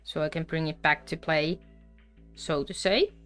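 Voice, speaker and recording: Woman, smooth low voice. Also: fairly bright tone, soft background music. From Finland. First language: Finnish. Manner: chatty and unhurried, with frequent fillers. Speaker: crochet livestream host. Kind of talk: casual live crafting chat.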